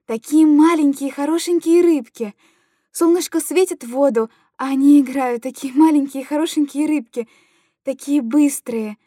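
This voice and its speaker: Lady acting a tender anguished mom cooing to her little kid, pretty high voice, dry close sound, literary monologue. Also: moderate pace, mellow, no room sound, natural voice. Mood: playful scolding. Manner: cooing, repetitive, childlike storytelling to a small child. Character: anguished tender young mother soothing her little boy. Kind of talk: dramatic literary monologue reading.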